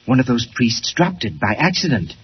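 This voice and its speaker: A man's voice: medium pitch, sing-song, normal speed, lightly acted. An actor playing an older, learned father, agitated and earnest, in 1940s radio style. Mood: earnest, excited. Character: excitable older learned doctor, a worried father. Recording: dull, hissy 1940s radio recording.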